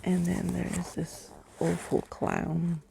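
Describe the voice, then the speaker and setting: A woman reading a story aloud as ASMR, hushed and often slipping into a whisper, close to the mic. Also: fairly low pitch, monotone, takes it slowly, gravelly, slight background noise.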